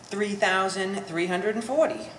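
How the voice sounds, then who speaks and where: A woman's voice: medium pitch, unhurried, close to an everyday voice. A woman playing a resentful, bookish adult daughter in a stage play, speaking with wordy sarcasm. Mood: dry. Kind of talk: live stage play acting. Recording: echoey hall, distant mic, faint noise.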